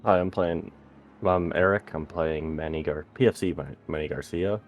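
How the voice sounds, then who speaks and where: Very deep-voiced male tabletop player. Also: fairly even intonation, normal speed, highs cut off, slight background noise. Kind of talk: casual chat.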